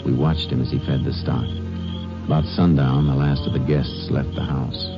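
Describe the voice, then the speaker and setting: A man in a 1950s radio drama who narrates and plays a steady, terse lawman, a ranger giving orders. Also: a bass voice, moderate pace, over loud music. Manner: terse, level and commanding. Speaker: narrator and lead. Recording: dull lo-fi old radio broadcast.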